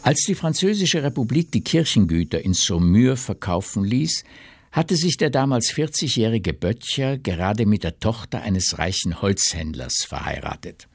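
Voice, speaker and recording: A man's voice: fairly low pitch, sing-song, normal speed, slightly rough, dry recording, studio quality. A man narrating a literary radio drama as storyteller.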